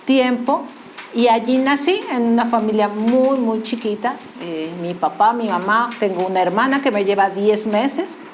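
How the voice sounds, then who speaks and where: A woman's voice: on the high side, moderate pace, a little hiss or hum. A woman from Mexico City with a local Mexican accent, a guest in a casual interview, telling her story in a chatty way. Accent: Mexican Spanish, Mexico City. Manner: chatty, storytelling, laughs easily.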